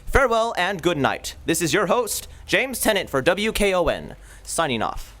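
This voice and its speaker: A man's voice: high voice, big pitch swings, normal speed, a bit bright. A man hosting and announcing a live old-time radio show, with theatrical delivery.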